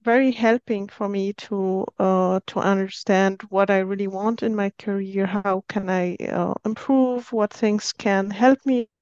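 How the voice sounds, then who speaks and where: Hesitant Syrian-born woman with a smooth voice. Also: medium pitch, steady tone, unhurried, hardly any pauses, darkish tone, no room sound, dull audio. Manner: hesitant, many fillers, careful non-native phrasing. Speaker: guest, software engineer. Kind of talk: podcast interview.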